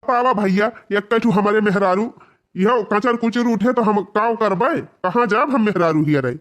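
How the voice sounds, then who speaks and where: Cartoon husband, played by a man: high-pitched, Awadhi accent, stubborn village grumbler, dismissive and teasing. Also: moderate pace, a bit bright. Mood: grumbling, dismissive. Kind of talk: comedy cartoon dialogue in village dialect.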